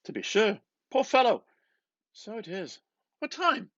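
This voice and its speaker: A man voicing a hearty, sociable Dublin man in a novel, with loud, effusive, sing-song speech. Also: high voice, big pitch swings, unhurried, dry recording, highs cut off, pitched above the performer's own voice, exaggerated voice. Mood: sympathetic, chatty.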